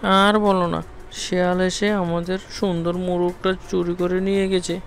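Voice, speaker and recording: A man voicing an excitable, wailing farmer's wife in a cartoon fable. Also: sits high, normal speed, vocal fry, pitched above the performer's own voice. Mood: lamenting. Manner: loud, wailing. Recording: music under the voice.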